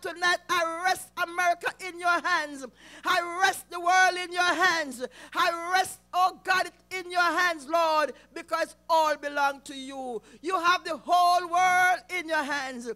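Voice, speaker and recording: A man leading an impassioned intercessory prayer, calling out to God again and again, often close to shouting. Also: very high-pitched. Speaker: prayer leader.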